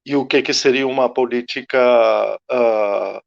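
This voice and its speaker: An Argentine man, Spanish his first language, speaks in a slow, searching way and pauses often as he reflects. His middle-pitched voice comes through dull, lo-fi video-call audio. The talk is thoughtful and academic. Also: steady tone, creaky. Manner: slow, searching, many hesitations. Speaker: invited guest speaker.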